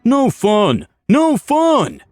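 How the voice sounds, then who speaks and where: A man's voice: very high-pitched, wildly swooping pitch, very slow, darkish tone, dry recording, soft background music, pitched above the performer's own voice, heavily acted. A man voicing a petulant, sneering man-child necromancer in a whiny, pouting manner for a narrated fantasy story.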